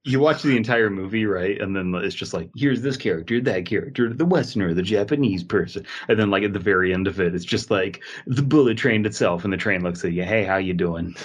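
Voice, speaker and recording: A man with a lowish voice chats as a podcast host. His delivery is informal and rambling, full of joking asides in a loose comedic conversation. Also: brisk, hardly any pauses. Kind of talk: casual comedic podcast chat.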